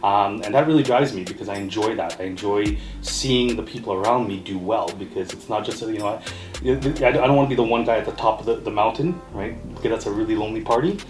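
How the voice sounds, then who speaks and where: A man's voice: on the low side, quick pace. A man from Trinidad who grew up in Canada, giving earnest, rambling interview answers peppered with "you know" and "right?". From born in Trinidad, raised in Canada from age eight. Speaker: interview guest, mortgage brokerage owner. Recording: roomy echo, music playing behind. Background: music.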